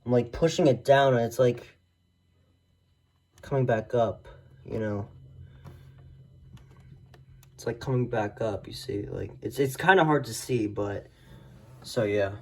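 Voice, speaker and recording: A man's voice: medium pitch, measured pace, long pauses, darkish tone. A man casually presenting a collection in a hesitant, rambling way that often trails off. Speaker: video creator showing a radio collection. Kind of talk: casual collection showcase chat.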